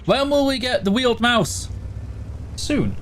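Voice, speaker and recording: A man's voice: extremely high pitch, animated, unhurried, a bit bright, a little hiss or hum. A man with a Yorkshire accent, streaming a game and casually answering viewer questions in a chatty, self-deprecating way. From Yorkshire, England.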